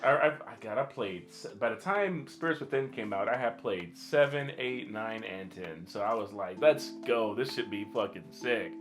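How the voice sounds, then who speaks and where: A man's voice: lowish voice, normal speed, few pauses, a bit bright. A man co-hosting a casual podcast, speaking bluntly with exclamations and plenty of swearing. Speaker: podcast host. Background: guitar music behind the voice.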